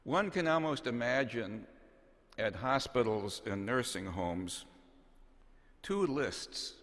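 Male bishop, 60s, measured homily delivery, slow and deliberate, big reverberant cathedral sound. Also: medium pitch, animated, a bit bright. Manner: measured, solemn, deliberate phrasing with pauses. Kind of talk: homily, read from notes. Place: large cathedral, at the pulpit. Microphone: gooseneck pulpit microphone.

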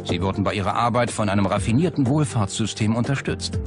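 A man's voice: on the low side, fast. Male documentary narrator over prominent music. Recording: music bed under the voice. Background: background music.

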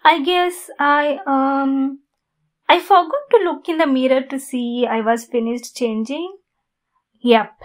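Woman acting a high-voiced, flustered demon girlfriend. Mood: flustered, defensive. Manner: halting, flustered, intimate delivery. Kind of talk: ASMR roleplay.

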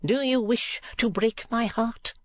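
A narrator voicing a haughty, pouting middle-aged businesswoman wife, imperious and sharp-tongued, in an audiobook. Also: medium pitch, fairly even intonation, dry recording, voice pushed up, close to an everyday voice. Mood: indignant. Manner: imperious, brisk, put-upon.

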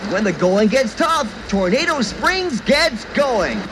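A plucky storm chaser filing a dramatic live radio report. The voice is extremely high and animated, with clear field noise all around it. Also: male voice, slow, heavily acted. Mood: dramatic, rallying. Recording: noisy outdoor field-report sound.

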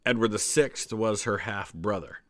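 A man's voice: lowish voice, moderate pace, fairly bright tone, no room sound. A middle-aged American man giving casual history commentary, chatty and inclined to explain things with little digressions. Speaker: history video host.

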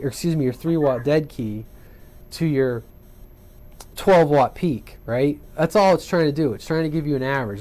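A man's voice: mid-range voice, darkish tone. A man giving a casual, rambling tutorial at his home radio workbench, often correcting himself and checking in with "right?". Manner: rambling, self-correcting, conversational with frequent "right?". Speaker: CB radio hobbyist demonstrating equipment.

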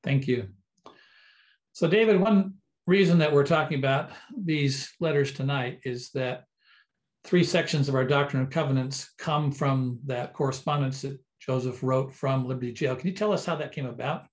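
Older man hosting, mid-pitched voice. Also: normal speed. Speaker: host. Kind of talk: moderating a discussion. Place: indoor room with wooden bookcases. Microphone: video call mic.

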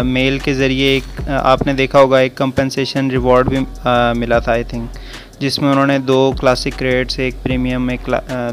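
A man giving a casual, conversational explainer for a mobile game video, speaking directly to his viewers. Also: medium pitch. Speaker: gaming video creator. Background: music.

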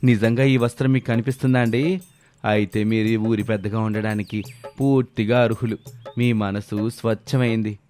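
A man's voice: lowish voice, steady tone, normal speed, darkish tone, lightly acted. A man playing a boastful, persuasive swindler who poses as a heavenly weaver in a storybook tale. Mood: flattering. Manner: boastful, persuasive salesman talk. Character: smooth-talking male swindler posing as heavenly weaver. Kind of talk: scripted story dialogue. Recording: background music behind the voice.

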